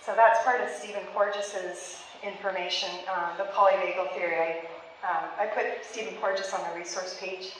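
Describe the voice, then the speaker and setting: Animated woman teaching in an echoey room. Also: mid-range voice, normal speed, a little hiss or hum. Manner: animated, laughs often, talks with her hands. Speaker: therapist leading a parenting workshop. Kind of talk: live workshop talk to a small group. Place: meeting room with flip charts on the walls. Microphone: handheld mic, filmed from across the room. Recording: echoey room heard from a distance.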